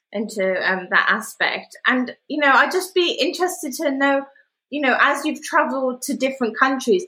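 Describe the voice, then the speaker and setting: A woman's voice: fairly high pitch, bright, forceful tone, roomy sound, poor audio. A woman hosting an interview podcast, enthusiastic and encouraging, with many "like" and "you know" fillers. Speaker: podcast host. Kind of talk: interview conversation.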